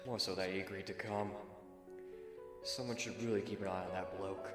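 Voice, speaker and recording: A man voicing a rough, chummy male rogue with blunt, slangy British-style speech in an anime fan roleplay. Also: deep voice, steady tone, normal speed, over music, lightly acted. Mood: muttering, hurried.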